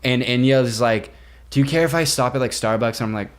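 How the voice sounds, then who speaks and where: Young American podcast co-host, medium pitch, playful, sweary, casual comedic chat, peppered with "like". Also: a little fast. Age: young adult.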